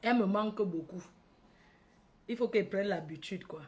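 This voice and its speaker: A woman's voice: medium pitch, normal speed. A woman from Cameroon with a Cameroonian accent hosting a casual livestream, addressing followers by name, repeating phrases and tagging on questions. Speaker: livestream host.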